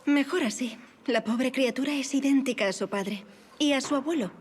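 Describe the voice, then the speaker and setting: Woman acting high-voiced, playful young marquise. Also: normal speed, a little hiss or hum, natural voice. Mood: breezy. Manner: poised, playful, teasing. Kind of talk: audio drama acting.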